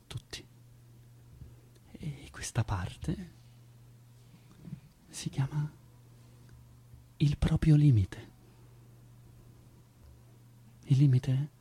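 A male actor playing an anxious, self-doubting man in a halting theatrical radio monologue, with a husky, breathy voice that moves between whispers and outbursts. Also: medium pitch, mellow. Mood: brooding, hushed. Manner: halting, stammering, repeating words. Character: anxious, self-doubting man confessing his own limits.

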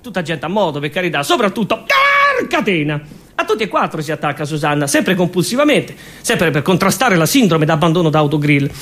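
A man, a comic stage performer delivering scripted storytelling in a deadpan, emphatic and repetitive way. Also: a little high, brisk.

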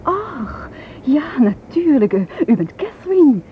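Hesitant wife, thin noisy recording. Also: female voice, high voice, big pitch swings, slow pace, narrow, tinny audio, heavily acted. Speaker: actress in a radio play. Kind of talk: Dutch radio drama acting.

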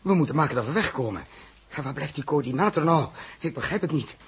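An actor playing a decisive spaceship crew leader with colloquial delivery in a vintage 1960s radio drama. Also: male voice, fairly high pitch, animated, fast, breathy edge, heavily acted. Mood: brisk, urgent. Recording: noisy vintage radio recording.

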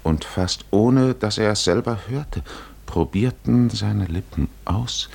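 A man narrating a 1950s German radio drama as a measured, solemn literary storyteller. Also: fairly low pitch, creaky, darkish tone, a little hiss or hum. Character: solemn male storyteller of an epic.

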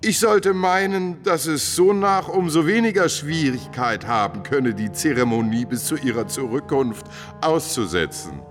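Smug courtier, swooping and theatrical. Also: male voice, fairly high pitch, expressive, unhurried, long reverb tail, faint music behind. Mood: needling, mocking. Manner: pompous, oily formality, theatrical exclamations. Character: smug, scheming courtier, haughty emissary of a prince. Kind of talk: mid-century German classical radio play acting.

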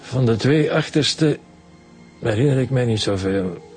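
A man's voice: mid-range voice, animated, a little slow, darkish tone, lightly acted. Injured male victim for radio serial, actor's role: weakened, hurting, medium register, faint music underneath. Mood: pained, weary. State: weak, in pain. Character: injured male shop owner in a hospital bed. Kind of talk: radio drama acting.

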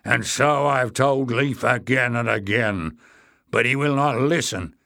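A man's voice: mid-range voice, unhurried, gravelly, sharp tone, no room sound, clean studio sound. A man voicing a huge, belligerent, boastful warrior in a fantasy story, growling and blustering. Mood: earnest. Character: hulking savage male warrior, boastful, belligerent, slow-witted.

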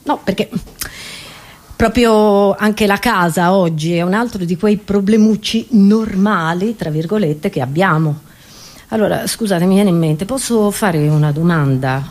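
A woman's voice: mid-range voice, measured pace, darkish tone. A woman comedian with an Italian accent delivers a satirical monologue as a radio host, conversational and ironic, tossing in rhetorical questions.

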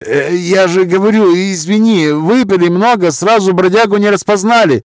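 Man doing a high-pitched, wheedling drunken bar pest. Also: normal speed, no room sound, close to an everyday voice. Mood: apologetic, wheedling. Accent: Romani-accented Russian. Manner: familiar, pushy, wheedling. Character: drunken middle-aged Romani man, brazen and wheedling.

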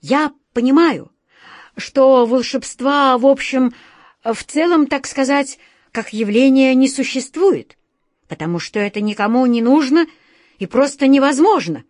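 A woman's voice: on the high side, measured pace, a little mellow, no echo, clean studio sound, voice pushed up. A woman voicing a nervous office director, a grown man who pleads and stammers in panic. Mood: panicky.

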